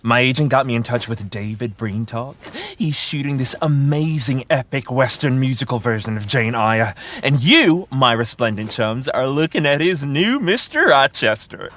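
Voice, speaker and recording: A man's voice: mid-range voice, expressive, moderate pace, fairly bright tone, lightly acted. A man playing a flamboyant, self-absorbed actor with a pompous, gushing, theatrical manner, in a comedic audio drama. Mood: breezy, self-important.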